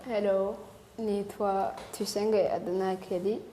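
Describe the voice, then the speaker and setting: Young Burundian girl, even and mellow. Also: mid-range voice, unhurried, reverberant, a little hiss or hum, rough recording. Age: 13 years old. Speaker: young guest demonstrator. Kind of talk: self-introduction to a tutorial.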